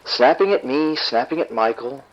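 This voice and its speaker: A man's voice: mid-range voice, lively intonation, normal speed, lightly acted. An actor playing an irritated, proud husband and father who speaks curtly and defensively to the police over the phone. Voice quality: curt, defensive. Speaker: caller. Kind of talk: audio drama acting. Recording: dull phone line.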